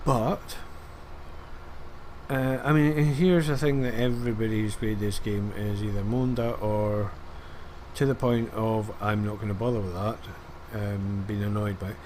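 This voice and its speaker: A man's voice: fairly low pitch, steady tone, measured pace, darkish tone, slight background noise. A man giving rambling, self-deprecating commentary over a farming game, full of fillers. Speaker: gaming video creator. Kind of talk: casual gameplay commentary.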